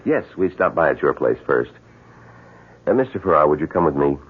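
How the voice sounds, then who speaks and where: An actor playing a firm, matter-of-fact state police officer with an official manner in a 1970s radio drama. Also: male voice, a bass voice, big pitch swings, husky, exaggerated voice. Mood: polite, firm. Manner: polite, official, measured. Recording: dull lo-fi 1970s radio recording.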